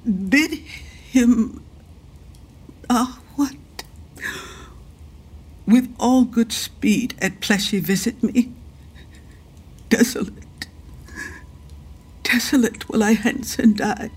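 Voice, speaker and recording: Husky, slow-spoken grieving widowed duchess. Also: female voice, a little high, a little mellow, heavily acted. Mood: grieving, desolate. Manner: slow, emotional, formal archaic verse. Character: grieving older widowed duchess, bitter and desolate. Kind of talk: Shakespearean verse radio drama.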